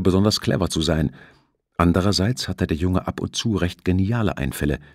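A man narrating a thriller novel as an audiobook, with measured, dramatic storytelling. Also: sits low, dry recording, studio quality.

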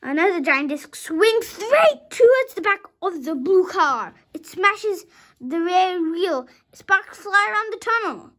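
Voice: very high-pitched, animated, takes it slowly, fairly bright tone. A young child of about five reading a storybook aloud dramatically, sometimes stumbling over words and rereading them.

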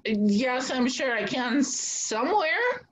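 A middle-aged woman playing a deferential household maid in a stage comedy, halting and hesitant. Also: high-pitched, big pitch swings, full character voice, rough recording. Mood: hesitant, puzzled. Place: indoor room, recorded over video call.

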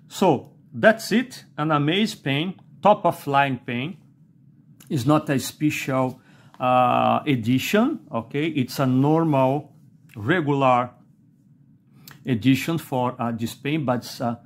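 A middle-aged man with a Brazilian Portuguese accent, not a native English speaker, reviewing a fountain pen at a desk, slow and halting with many "uh"s and a questioning "okay?". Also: mid-range voice. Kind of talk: unscripted product review while writing. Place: indoors at a desk.

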